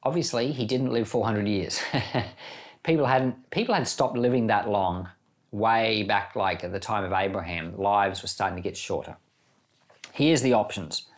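A man's voice: fairly low pitch, unhurried. A man speaking as a pastor on a Bible podcast, reading scripture and explaining it in a plain teaching manner. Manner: explanatory, reasoning through options step by step. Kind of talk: scripture reading followed by informal teaching commentary.

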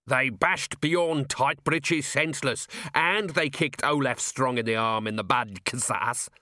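A man's voice: fairly low pitch, a little slow, bright, forceful tone, dry recording, clean studio sound. A man voicing a grumpy, hot-tempered male dwarf baker in a storybook, blustering with indignation. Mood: gruff, grudging.

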